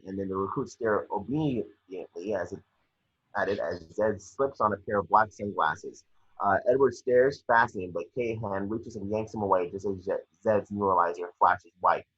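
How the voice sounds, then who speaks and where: Low, husky man reading screenplay directions. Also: pushed and bright, lo-fi sound. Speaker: reader of action descriptions.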